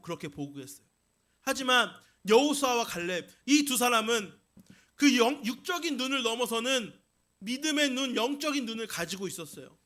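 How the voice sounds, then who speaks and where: High-pitched bright male preacher. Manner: emphatic, rising into forceful declarations then easing into explanation. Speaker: pastor preaching. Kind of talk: Sunday sermon with storytelling and exhortation.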